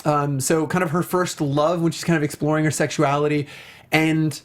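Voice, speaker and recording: A man with a fairly high voice hosts a casual talk show. He speaks conversationally, with excitable emphasis on his points. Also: normal speed. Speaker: show host. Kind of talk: casual film and game discussion.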